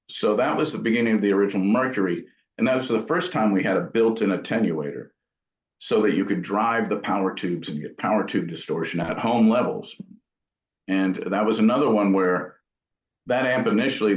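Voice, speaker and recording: A man talking casually as an interviewed amp builder, explaining technical details informally in a thoughtful, halting way. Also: fairly low pitch. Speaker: amp builder and company founder being interviewed. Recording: dull lo-fi sound in an echoey room.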